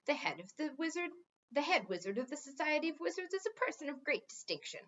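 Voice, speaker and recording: A stiffly proper male elf servant from a storybook, put on by a woman. He is formal and fussy, always deferential, with animated intonation. The sound is slightly dull. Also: high-pitched, quick pace, pitched above the performer's own voice. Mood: prim, deferential.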